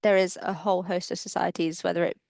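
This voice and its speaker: A woman's voice: on the low side, level tone, quick pace, vocal fry, a little mellow, no echo, clean studio sound. A woman moderating a webinar panel, politely asking questions and thanking speakers. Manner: polite, encouraging.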